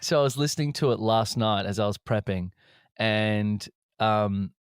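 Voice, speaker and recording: A man's voice: on the low side, a little slow, clean tone, no echo, studio quality. A man interviewing two musicians in a casual, rambling, enthusiastic way.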